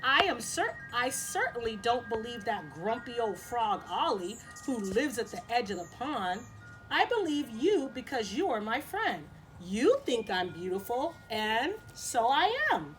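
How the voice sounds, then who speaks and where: A woman's voice: a little high, big pitch swings, unhurried, a bit bright, faint music behind, low-quality recording. A woman voicing a kindly old female spider in a storybook, patient and reassuring, with a singsong manner. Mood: confiding, firm.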